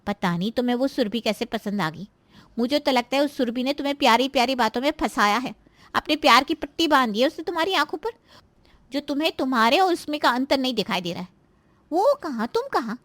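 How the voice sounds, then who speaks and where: A scheming married daughter from a cartoon family drama, acted by a woman, pitched fairly high. She nags, complains and insinuates with open spite. The sound is close and dry, with no room around it. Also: animated, quick pace, a bit bright, no echo. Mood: scornful, taunting. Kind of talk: Hindi moral-story cartoon voice acting.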